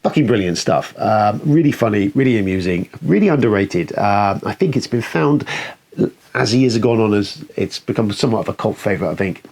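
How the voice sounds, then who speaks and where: A man's voice: fairly low pitch, expressive, fairly quick, darkish tone. A man hosting a film review, talking casually in an enthusiastic, rambling, sweary way. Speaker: host of a film review show.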